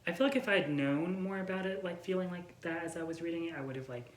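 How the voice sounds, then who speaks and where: A woman's voice: lowish voice, fast talker. A young woman chatting casually and informally about books, hesitating and leaning on filler words as she goes. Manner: informal, hesitant, heavy use of "like". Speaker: book reviewer co-hosting a book tag chat. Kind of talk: casual chat. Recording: roomy echo, some background noise.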